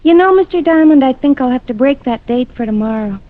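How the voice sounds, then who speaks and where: High-voiced flirty radio dame. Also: female voice, expressive, brisk. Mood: flirty, wry. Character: flirtatious, breezy dame under arrest. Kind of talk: old-time radio crime drama acting. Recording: tinny, noisy old radio recording.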